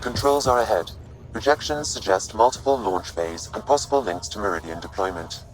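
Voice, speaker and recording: An actor voicing a calm, formal artificial intelligence in a video game, reporting in a measured and precise manner. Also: male voice, fairly low pitch, music in the background, lightly acted, low-quality recording. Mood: focused. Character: calm male artificial intelligence ship assistant, precise and loyal.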